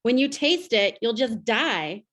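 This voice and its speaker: A woman's voice: fairly high pitch, big pitch swings, unhurried, a bit bright, full character voice. A woman playing a sweetly doting wife on a call in an old-time radio drama. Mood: sweet, coaxing.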